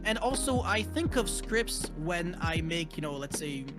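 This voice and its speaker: A high-pitched man from Montreal who grew up speaking French, with a Quebec accent running through his English. He talks loosely as an interview guest, stringing thoughts together with "like" and "you know", while clear music plays underneath. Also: expressive, a little slow. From Montreal, Canada. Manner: loose, filler-heavy with frequent "like" and "you know". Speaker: guest content creator. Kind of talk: casual interview chat.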